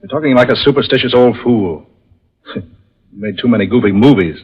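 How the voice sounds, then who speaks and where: A man's voice: medium pitch, normal speed, slightly rough, close to an everyday voice. A man playing a skeptical young film star in a 1940s radio drama, dismissive and matter-of-fact. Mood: scornful. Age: young adult. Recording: noisy, dull old radio recording.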